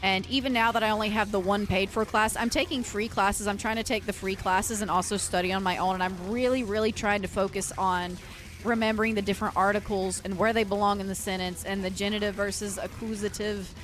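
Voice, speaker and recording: A woman's voice: mid-range voice, steady tone, a little fast, a bit bright, soft background music. A woman livestreamer chatting casually and earnestly with her viewers, rambling and often restarting her sentences. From United States. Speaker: livestreamer and metal vocalist.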